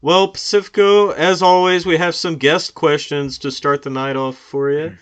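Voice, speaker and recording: A man with a fairly high voice hosts a casual sports podcast. He talks in a loose, joking way and often breaks into laughter between lines. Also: level tone, moderate pace, clear, resonant tone. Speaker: podcast host.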